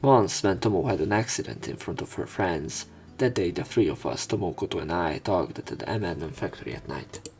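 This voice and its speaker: A man reading visual novel text aloud as a let's play narrator, in measured short phrases. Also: deep voice, expressive, a little mellow. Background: game music.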